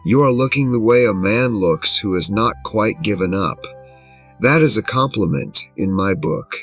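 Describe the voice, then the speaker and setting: A man voicing a smug, patronising English gentleman of sixty-one in an audio drama, pompous and effusive in his phrasing. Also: fairly low pitch, animated, normal speed, soft background music, voice pushed up. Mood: effusive, condescending. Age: older. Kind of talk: audio drama character dialogue.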